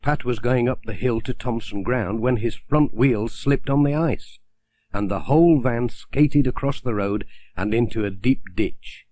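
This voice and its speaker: A man's voice: medium pitch, unhurried, warm tone. A man narrating a children's story from a script, as an audiobook reader.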